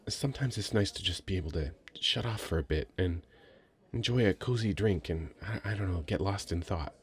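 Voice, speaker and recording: A man playing a very shy, sweet young nerdy writer in an ASMR roleplay, hesitant, stammering and soft-spoken. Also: fairly low pitch, gravelly. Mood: shy, friendly. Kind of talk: ASMR roleplay, addressing the listener.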